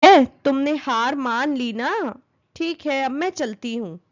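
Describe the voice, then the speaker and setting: A woman voicing a boastful, pestering little female fly in a children's story, bragging and taunting, quick to anger. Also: high-pitched, dull audio. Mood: smug, boastful.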